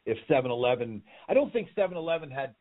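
A man's voice: mid-range voice, very animated, very fast. A man telling rambling stories as a guest in a casual interview, often repeating words. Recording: tinny phone-like line.

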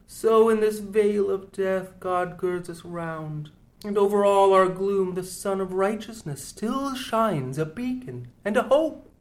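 A man reading an audiobook, voicing a pious, anxious first mate in a quiet, earnest murmur. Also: sits high, slow pace. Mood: troubled.

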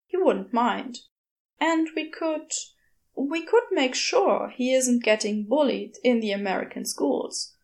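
A woman's voice: high voice, takes it slowly, vocal fry, heavily acted. A woman voicing a fussy, well-meaning male character in a story, prim, polite and reassuring. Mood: tentative, gentle.

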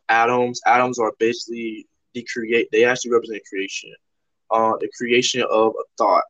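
A man's voice: on the low side, a bit bright, no room sound. A man from Toronto hosting a podcast, explaining ideas in an earnest, rambling way with lots of "like" fillers. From Toronto, Canada. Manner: rambling, heavy use of "like" fillers. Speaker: podcast host. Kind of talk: casual conversational discussion. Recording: slightly dull lo-fi audio.

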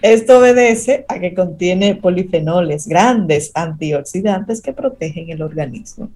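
A woman with a lowish voice co-hosts a radio show. She reads through her segments and breaks them up with playful asides. Faint music sits behind her. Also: expressive, measured pace, warm tone. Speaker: radio co-host.

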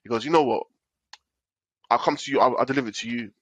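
A man's voice: mid-range voice, fast, dry recording. Male co-host, nasal from a cold, rambling casual storyteller, dry sound. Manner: rambling storyteller, repeats himself. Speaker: podcast co-host. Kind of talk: casual chat.

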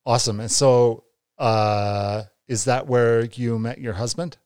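A man's voice: mid-range voice, fairly even intonation, slow, darkish tone, clean tone, no echo, clean studio sound. A man in his forties, co-hosting a podcast and asking questions with enthusiastic restarts. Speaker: podcast co-host. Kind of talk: casual interview.

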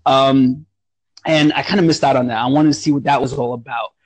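A young adult man from Romania co-hosting a podcast, telling stories in an animated, profane, casual way. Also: mid-range voice, a little fast. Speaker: podcast co-host. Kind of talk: casual chat.

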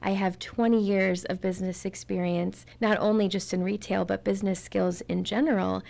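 A woman's voice: medium pitch, steady tone, moderate pace, gravelly, a little mellow. A middle-aged woman, a guest in an interview, talking earnestly about her community volunteer work and repeating words for emphasis. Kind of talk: casual interview talk.

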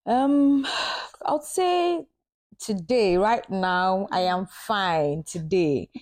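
A young Nigerian woman talks as a podcast guest. Her Nigerian accent is plain, and she fills pauses with ums. She laughs often and keeps the tone casual. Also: mid-range voice, animated, darkish tone.

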